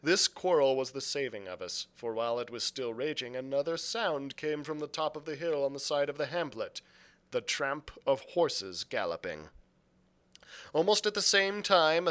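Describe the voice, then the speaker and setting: Bright-voiced man reading a story. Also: fairly high pitch. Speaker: librarian reading a novel aloud. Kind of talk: dramatic storybook narration.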